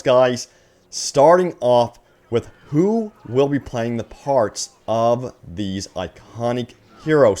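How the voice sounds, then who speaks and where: A man hosting a gaming news video, speaking enthusiastically to viewers and reading out announcements. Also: medium pitch. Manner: excited, addresses viewers as guys. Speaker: gaming news channel host.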